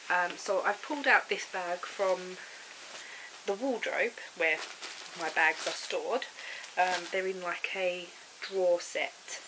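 A woman's voice: medium pitch, background noise. Bunged-up woman knitting vlogger. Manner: chatty, rambling, many ums. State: congested with a cold and sore throat. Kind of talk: casual chat to camera. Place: living room sofa indoors.

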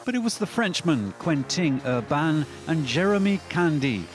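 A man's voice: a little high, very animated, moderate pace. A man reading scripted narration for a sports documentary. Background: background music.

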